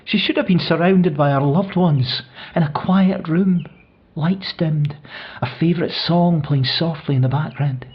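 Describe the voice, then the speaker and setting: An older widower delivering a tender audio-play monologue. His voice is husky and breathy, hushed and reflective as he reminisces through his grief. The recording sounds a little muffled and dull. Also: a little high, measured pace. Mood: grieving.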